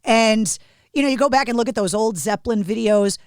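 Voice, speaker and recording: Woman podcast host, kinda high voice, playful and quick, dry sound. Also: a little mellow, no echo. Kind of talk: casual interview chat.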